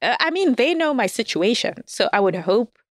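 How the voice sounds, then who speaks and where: A woman's voice: a little high, sing-song, normal speed, no room sound, clean studio sound. A woman guest in casual conversation, candid and self-deprecating, laughing often as she talks. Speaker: podcast guest. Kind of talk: casual dating chat.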